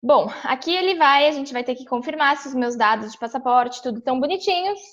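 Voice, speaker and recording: A young woman from São Paulo with a Brazilian accent talks through a tutorial at her computer. Her voice sits a little high, and she chats loosely with many fillers and restarts. The sound comes through a dull, lo-fi webcam mic indoors. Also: lively intonation, brisk, fairly bright tone. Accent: São Paulo Brazilian Portuguese. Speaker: vlogger walking through a visa application. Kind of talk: casual screen-share tutorial.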